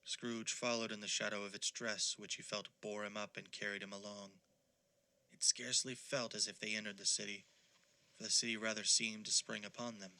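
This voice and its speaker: An actor as the solemn narrator of a ghost story in an audio drama, reading scripted narration. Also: male voice, lowish voice, flat delivery, a little fast, pushed and bright, clean tone, poor audio.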